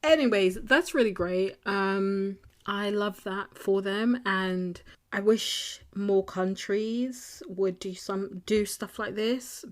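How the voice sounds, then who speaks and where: A young woman hosts a solo podcast in a relaxed, chatty way. She keeps making self-deprecating jokes and asides as she works through her notes. Her voice sits at a middle pitch for a woman. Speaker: podcast host. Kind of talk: casual solo podcast chat.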